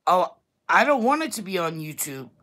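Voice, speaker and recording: A woman speaking in a very low, deep voice with a thick Staten Island New York accent. She chats bluntly and loosely to her viewers as if on a livestream, laughing now and then. The sound is a plain home computer mic. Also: sing-song, moderate pace, a bit bright. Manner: chatty and blunt, laughs often. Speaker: YouTube vlogger. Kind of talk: casual livestream chat to viewers.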